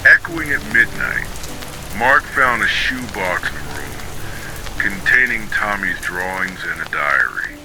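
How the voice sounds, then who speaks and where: Deep-voiced man narrating ghost stories. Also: big pitch swings, a little slow, background noise. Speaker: horror story narrator. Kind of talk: scripted scary bedtime story narration.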